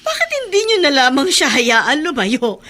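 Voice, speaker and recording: An actress playing an older housekeeper, hurt and pleading, in a radio drama. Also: female voice, high voice, wildly swooping pitch, normal speed, sharp tone, heavily acted. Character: older female housekeeper, hurt but boldly pleading with her master.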